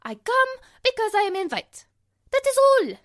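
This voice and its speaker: Haughty, very high-voiced Spanish prima ballerina. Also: female voice, sing-song, normal speed, fairly bright tone, heavily acted. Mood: haughty, indignant. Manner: imperious, dramatic, broken English. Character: haughty, fiery foreign prima ballerina, easily offended. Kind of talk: 1940s radio drama acting.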